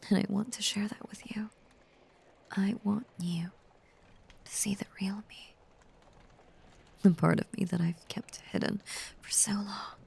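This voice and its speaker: A woman voicing an obsessive, possessive female werewolf lover in an intimate audio roleplay, breathy and often whispering, with a halting, intense, confiding delivery that is tender yet menacing. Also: medium pitch, steady tone, a little mellow, full character voice. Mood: tender, confessional.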